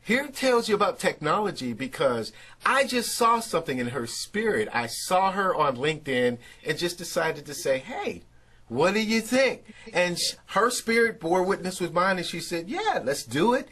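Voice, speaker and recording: A man's voice: high-pitched, very animated, moderate pace, fairly bright tone. A man hosting an online interview over a video call, talking in an enthusiastic, effusive way. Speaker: interview host.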